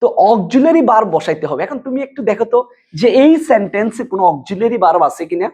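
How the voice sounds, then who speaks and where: A woman teaches a class in a lively, swooping intonation. Her voice is husky and sits at a middle pitch for a woman. She explains things step by step, repeating key points and posing questions to her students. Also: quick pace. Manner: repetitive, question-and-answer explaining. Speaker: English grammar teacher. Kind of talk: board lesson addressed to students.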